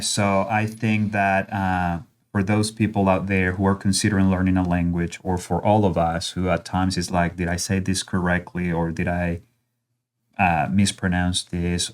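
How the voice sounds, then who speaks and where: A man speaking accented English as an interview guest, his voice on the low side. He talks in an earnest, encouraging way, often pausing to check in with his listener. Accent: non-native accent in English. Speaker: college language instructor guest. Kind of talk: conversational interview.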